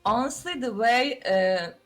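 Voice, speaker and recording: A woman's voice: fairly high pitch, unhurried, fairly bright tone, soft background music. A woman from Turkey with a Turkish accent, a guest streamer in a casual podcast chat, speaking English as her second language, hesitant and giggly as she searches for words.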